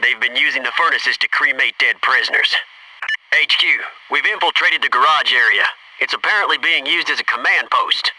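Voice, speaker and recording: An actor playing a gung-ho, wisecracking Navy SEAL soldier in a military video game, giving clipped tactical callouts. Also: male voice, mid-range voice, normal speed, natural voice. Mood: brisk, businesslike. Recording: noisy radio-style game audio, slightly distant.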